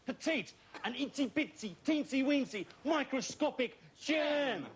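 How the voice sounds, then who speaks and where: A man's voice: very high-pitched, animated, a little slow, noisy background. A man performing in a sitcom, teasing in a wry manner. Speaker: comedian in a sitcom. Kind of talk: scripted sitcom comedy.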